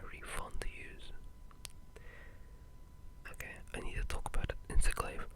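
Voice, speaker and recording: A person whispering a casual, halting ASMR ramble about video games, in a breathy, unvoiced voice. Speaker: video game ASMR creator.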